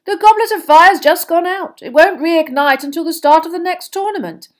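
Woman as a high, boyish, breezy man. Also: moderate pace. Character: cheery, boyish male sports official.

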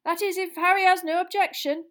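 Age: middle-aged. Sex: man. Figure: boisterous official, loud and hearty as a woman narrator puts him on. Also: extremely high pitch, steady tone, fairly quick, voice pushed up.

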